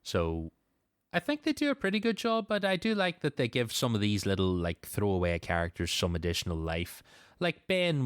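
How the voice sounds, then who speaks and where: A man's voice: sits low, clean tone, dry recording, studio quality. A man hosting a podcast in a casual, conversational style. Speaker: podcast host.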